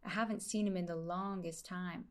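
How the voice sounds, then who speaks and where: A woman voicing a host's interview questions, with steady, deliberate phrasing. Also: on the low side.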